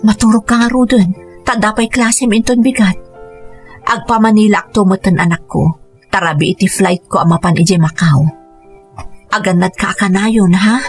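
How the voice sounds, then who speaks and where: A woman's voice: medium pitch, fairly even intonation, moderate pace, soft background music, close to an everyday voice. A woman playing an earnest, self-sacrificing young mother who speaks pleadingly in a radio drama. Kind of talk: Ilocano radio drama acting.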